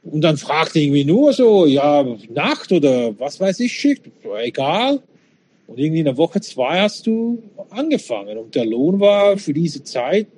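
Male, fifties, non-native German speaker, candid casual storyteller, highly varied intonation, slightly high register. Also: very animated, a little slow, a little mellow, slight background noise. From Switzerland, born in Czechoslovakia. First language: not German. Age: 50s. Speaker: interview guest. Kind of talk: casual reminiscing conversation.

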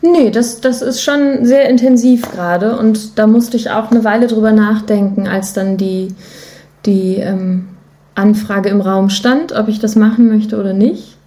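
A woman's voice: mid-range voice, unhurried, dark tone. A woman talking casually and informally as a musician guest in an interview, with an enthusiastic manner. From Germany. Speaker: podcast guest, musician and singer.

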